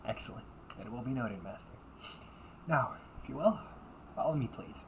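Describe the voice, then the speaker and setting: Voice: medium pitch, expressive, moderate pace, close to an everyday voice. Flustered delinquent butler-cafe waiter over background noise, acted out. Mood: formally polite. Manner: stiffly formal service politeness, slipping into muttered threats. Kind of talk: amateur audio roleplay.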